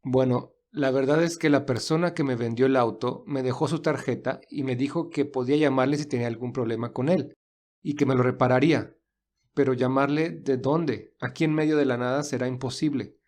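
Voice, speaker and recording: A man's voice: medium pitch, fairly even intonation, a little fast, darkish tone, close to an everyday voice. A man playing a courteous, resourceful young man in an audio story, speaking earnestly and reassuringly. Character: polite, resourceful young man stranded with his companion. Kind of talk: audio story character dialogue.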